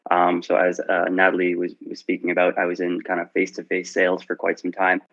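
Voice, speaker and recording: A man with a very deep voice and little rise and fall talks eagerly about his work, stacking up praise and repeating words. The sound is dull and muffled, as if it came through a lo-fi connection. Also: completely flat delivery, a little fast, fairly bright tone. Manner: upbeat, effusive, repeats words. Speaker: guest account manager. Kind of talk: casual self-introduction on an audio chat room. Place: Toronto.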